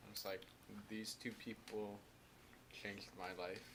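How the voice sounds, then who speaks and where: Young man, podcast co-host, earnest and halting, lowish voice, clear background noise. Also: little pitch movement, a bit bright, low-quality recording. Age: young adult. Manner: earnest, halting, many "like" fillers. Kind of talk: casual chat among friends.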